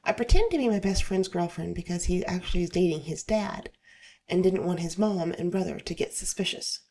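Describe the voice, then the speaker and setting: A woman hosts a casual story-reading session in a lowish, slightly hoarse voice. There is plenty of fry in it. She moves between reading aloud and offhand commentary in an informal way. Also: expressive, fairly quick, darkish tone. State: slightly hoarse, getting over illness. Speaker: host reading online stories aloud.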